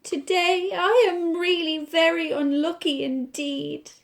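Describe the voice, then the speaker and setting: A woman's voice: very high-pitched, steady tone, slow, creaky, pitched above the performer's own voice, full character voice. A woman with an Irish accent voicing an anxious, gloomy male monkey who complains in a whiny, fretful way.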